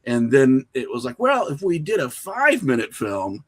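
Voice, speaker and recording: A middle-aged American man telling stories casually as an interview guest, animated and often acting out imagined lines of dialogue. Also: mid-range voice, normal speed. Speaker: podcast guest, independent filmmaker.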